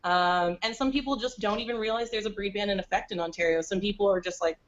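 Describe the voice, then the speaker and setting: A woman speaking as a podcast guest over a video call, chatty and quick, with lots of "like" fillers. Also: medium pitch, dull audio. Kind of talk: casual interview chat.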